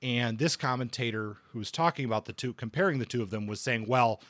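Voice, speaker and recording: A man co-hosting a podcast, casually discussing books in a halting way, often rephrasing as he thinks aloud. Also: mid-range voice, a bit bright, dry recording.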